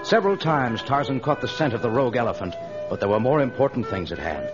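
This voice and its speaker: A man's voice: mid-range voice, animated, quick pace. A man narrating an adventure serial in dramatic, scripted old-radio style. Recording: dull old radio recording, music behind. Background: music.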